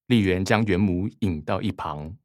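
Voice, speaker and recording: A man's voice: sits low, normal speed, a little mellow, no room sound, clean studio sound. A man narrating a scripted radio drama, reading short scene descriptions.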